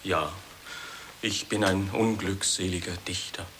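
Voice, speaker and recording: An actor playing a hapless, plaintive poet with theatrical fervor in an absurdist radio comedy. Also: male voice, low-pitched, steady tone, measured pace, a bit bright, slight background noise. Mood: mournful. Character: hapless, mournful male poet declaiming nonsense verse with great fervor. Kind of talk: 1980s German absurdist radio comedy acting.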